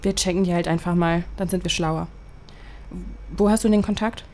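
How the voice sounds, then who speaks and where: A young actress playing a pragmatic, sceptical best friend in a naturalistic audio drama, speaking casually. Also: female voice, fairly low pitch, fairly even intonation, moderate pace, a little mellow, close to an everyday voice. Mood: practical. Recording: clear background ambience noise.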